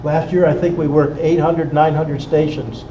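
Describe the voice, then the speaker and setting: A man's voice: a little high, level tone, normal speed, mellow. A man instructing a group in practical, explanatory fashion, often checking that they follow. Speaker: instructor at an amateur radio club. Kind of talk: hands-on group teaching, explaining to a room. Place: indoor club meeting room. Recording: echoey room, distant dull mic, faint noise.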